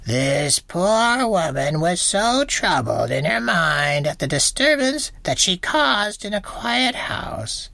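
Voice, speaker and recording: A woman voicing a pious, wise old abbess who speaks slowly in a hushed, solemn way, sometimes almost whispering. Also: lowish voice, sing-song, vocal fry, no echo, voice pushed up, heavily acted.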